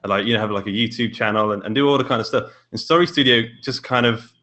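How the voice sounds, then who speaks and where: Bright, forceful British man chatting. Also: mid-range voice, fast. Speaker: podcast guest, indie author. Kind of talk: casual interview chat.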